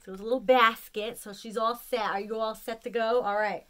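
A woman in her forties with an American accent, chatting casually and excitedly to camera in a room at home. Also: a little high, a little fast. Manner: excitable, gushing. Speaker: vlogger showing a haul of collectibles. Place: small room at home, indoors.

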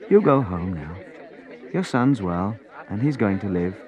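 A man voicing a gentle, calm Jesus in a storybook reading, speaking softly. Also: fairly low pitch, a little fast, narrow, tinny audio, crowd noise, natural voice.

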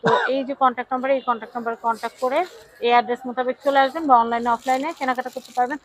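A woman's voice: a little high, level tone, very fast, rough recording. A woman with a Bangladeshi accent hosting a casual product showcase, chatting with a seller, asking short questions and repeating what she hears. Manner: repeats prices and phrases, asks short questions. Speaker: video host presenting products.

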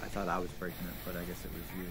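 A man's voice: deep voice, monotone, racing, background noise. A man chatting casually and jokily on voice chat.

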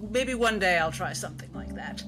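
Woman acting as wry lady doctor, polite, gently hesitant, unnerving, tabletop game, music underneath. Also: fairly low pitch, animated, brisk, lightly acted. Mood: courteous.

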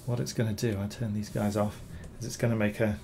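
A man, hoarse from recovering from illness, talking through a screen-recorded software tutorial, rambling with many ums and repeated words. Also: lowish voice, little pitch movement, quick pace, background noise. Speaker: bas-relief sculptor reviewing software.

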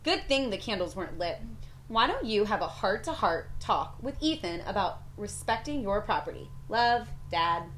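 A woman's voice: medium pitch, sing-song, normal speed, fairly bright tone, poor audio. A woman in her thirties reading a children's picture book aloud, recorded on a porch from a few feet away. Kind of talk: storybook read-aloud, letters in character. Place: covered porch with patio furniture. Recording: ambient noise on a porch.

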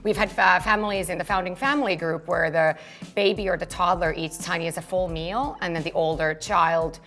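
A woman's voice: fairly low pitch, steady tone, brisk, fairly bright tone, faint music behind. A woman in her thirties, originally from Finland, talking through her company as a guest in an interview, fluent and earnest, in an office. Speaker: co-founder and guest. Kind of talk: business interview conversation. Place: open-plan office, indoors.

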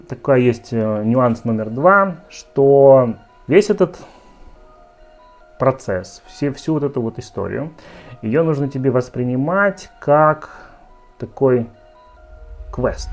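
A man's voice: mid-range voice, a little mellow. A man in his thirties teaching as a speech coach in a home video lesson, earnest and direct, addressing the viewer with many fillers, on a lapel mic. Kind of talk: talking-head video lesson. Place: home office with shelves, indoors.

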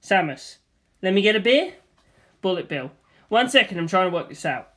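A man reading a comedic screenplay aloud in a flat, deadpan manner. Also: sits high, normal speed. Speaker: reader of a script.

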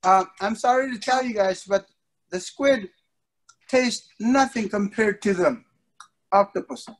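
A middle-aged man with a fairly high voice plays storyteller for young kids. He is theatrical and playful, stretching key words and leaving pauses, speaking as an instructor on a video call. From an island country. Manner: theatrical, with drawn-out emphasis and pauses. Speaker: martial arts instructor telling stories to children. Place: indoor wood-panelled studio, on a video call.

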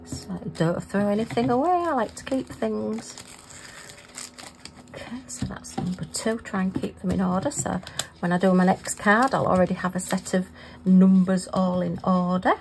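A middle-aged woman talking casually to herself while crafting, her voice going hoarse. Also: medium pitch, normal speed, background noise. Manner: chatty, talks to herself. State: losing her voice. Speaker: craft vlogger.

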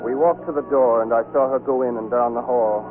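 Over music on a narrow, tinny radio broadcast, an actor plays an earnest young lieutenant. The man speaks quickly at a medium pitch, in the manner of 1940s radio drama. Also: steady tone, natural voice. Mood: sober recounting. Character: earnest young lieutenant in love, recounting war. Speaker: lead actor and narrator. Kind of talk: 1940s radio acting and narration.

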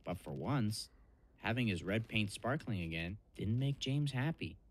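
A man's voice: fairly low pitch, animated, unhurried. A man giving scripted narration of a children's story. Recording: music behind the voice.

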